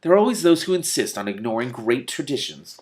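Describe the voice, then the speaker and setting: A man's voice: mid-range voice, big pitch swings, brisk, a little mellow, slightly rough, pitched above the performer's own voice. Man reading as a haughty, affronted sorcerer. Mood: pompous. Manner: haughty, indignant. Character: sneering, pompous bald male sorcerer, easily affronted.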